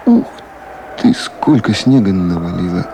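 A man's voice: deep voice, expressive, a little slow, background noise. An actor playing a weary man on long night duty, musing to himself in short phrases in a 1970s Soviet radio play.

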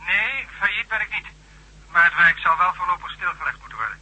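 An actor playing a sober, formal building contractor in a radio drama, speaking in a measured, serious way. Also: male voice, high-pitched, very animated, sharp tone, breathy edge, dry recording, full character voice, rough recording. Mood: grave, formal. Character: businesslike, sober building contractor, bringing bad news.

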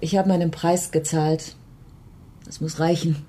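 A woman's voice: low-pitched, level tone, measured pace, warm tone. An actress playing a bitter, blunt, tough countrywoman in a radio drama, speaking in a terse, resolute way. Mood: bitter, weary. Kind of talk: naturalistic radio drama dialogue.

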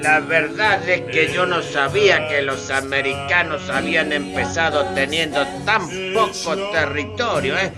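Actor as high-voiced, slow, naive everyman. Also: lightly acted. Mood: admiring, insistent. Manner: colloquial, wide-eyed, hesitant. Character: naive, gushing ordinary Latin American man admiring Americans. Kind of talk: radio theatre dialogue. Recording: loud music behind.

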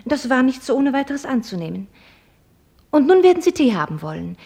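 An actress playing a proud, mockingly polite young American heiress in a German radio play, speaking in a formal, ironic and probing way. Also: female voice, high-pitched, big pitch swings, a little fast, gravelly, warm tone, slightly rough, exaggerated voice. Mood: mocking politeness. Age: young adult.

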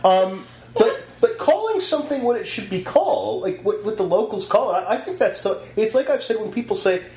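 A man's voice: high-pitched, expressive, a little fast, a little mellow. A man in casual chat, ranting with lots of energy and swearing freely. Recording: roomy echo, faint hum.

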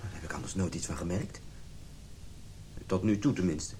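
A man's voice: sits low, normal speed, dark tone, slight background noise, close to an everyday voice. A male actor playing a wounded, domineering middle-aged husband in a radio drama, with an agitated manner. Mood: bitter, scornful. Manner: agitated, stammering when upset.

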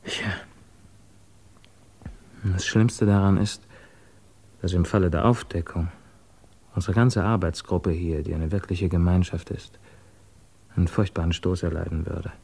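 A man playing a guarded, evasive émigré physicist under suspicion in a 1950s German radio drama, speaking formally and hesitantly, quietly troubled. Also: low voice, fairly even intonation, measured pace, vocal fry, pauses often, slightly rough, heavily acted. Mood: grave, guarded.

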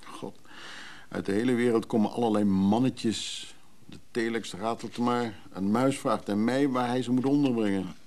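An actor playing a weary, protective man who is somewhat overwhelmed, in naturalistic radio drama dialogue, with a gravelly voice. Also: medium pitch, fairly even intonation, normal speed, darkish tone, close to an everyday voice.

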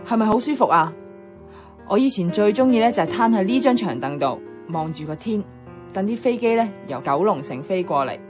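A woman's voice: medium pitch, animated, a little fast, over music, close to an everyday voice. An actress playing a nostalgic, adventurous young woman in a radio drama, chatting with a Hong Kong Cantonese accent. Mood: dreamy nostalgic. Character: nostalgic, playful, adventurous young woman revisiting her childhood neighbourhood.

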